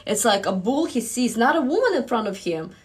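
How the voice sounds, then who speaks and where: A woman's voice: on the high side, very animated, fairly quick, breathy edge. A woman with a Russian accent giving direct relationship advice, reading out viewer questions and laughing between points. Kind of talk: casual live-stream advice.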